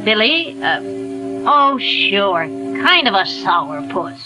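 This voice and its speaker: A woman's voice: mid-range voice, big pitch swings, takes it slowly, poor audio. An actress playing a folksy, hesitant older woman who once did comedy, in a 1940s radio drama. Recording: 1940s radio broadcast with music.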